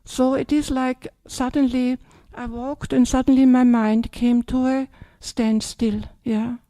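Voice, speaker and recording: A woman's voice: high-pitched, level tone, slow, dark tone, dry recording. An older woman, a Buddhist nun from Austria with an Austrian German accent, giving a chatty dharma talk that keeps checking in with "yeah?" and "yes?".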